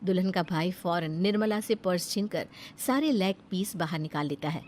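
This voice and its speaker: A woman's voice: lowish voice, moderate pace, darkish tone, slight background noise. A woman reading scripted narration for a cartoon family story as its storyteller.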